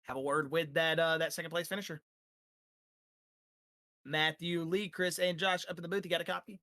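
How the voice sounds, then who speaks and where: A man who is losing his voice, interviewing drivers after a sim race with energetic, rambling talk full of fillers. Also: fairly high pitch, moderate pace. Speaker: sim racing league broadcaster.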